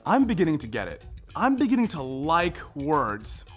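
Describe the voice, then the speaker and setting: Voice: medium pitch, huge pitch swings, normal speed, faint music behind. A performer narrating a scripted readers theater piece, presenting quotations and linking ideas. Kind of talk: scripted narration, staged reading of a research presentation.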